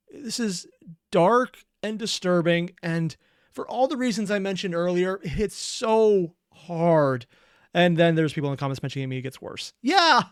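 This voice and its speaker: Dry-recorded, halting reaction host. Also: medium pitch, smooth, no room sound. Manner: halting, emotionally invested. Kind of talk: casual reaction commentary.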